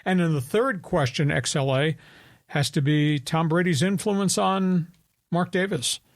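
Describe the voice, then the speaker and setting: Brief: gravelly older man in his seventies, sports radio host, rambling, opinionated, slightly higher pitch, dry close sound. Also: measured pace, no echo. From New York. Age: 70s. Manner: storytelling, opinionated, rambling with restarts. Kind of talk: sports talk radio chat.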